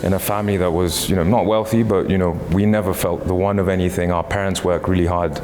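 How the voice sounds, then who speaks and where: A man's voice: low voice, fairly even intonation, fast, darkish tone. A man, talking about himself in a reverberant profile interview recording. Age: 30s. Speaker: interviewee, lawyer. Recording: long reverberant tail, music behind.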